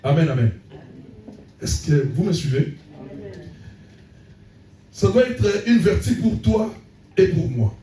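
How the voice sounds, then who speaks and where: A man's voice: high voice, very animated, slow, pauses often, dark tone, slight background noise. A man preaching a sermon as pastor, punctuating his points with frequent calls of amen. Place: church hall. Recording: echoey church room, voice from a distance.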